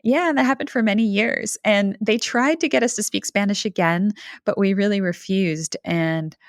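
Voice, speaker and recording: A woman's voice: mid-range voice, moderate pace, dry recording, clean studio sound. A woman in her thirties, originally from Canada, speaking as a podcast guest in a reflective, thoughtful way with frequent fillers and light laughs. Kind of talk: conversational interview.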